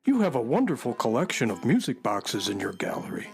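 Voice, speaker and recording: A man's voice: medium pitch, huge pitch swings, fairly quick, gravelly, soft background music. A man playing an earnest, stuffy collector in a children's radio drama, speaking in a formal, wordy, pedantic way. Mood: polite, curious. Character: Briscoe, earnest stuffy male collector, fond of dull things.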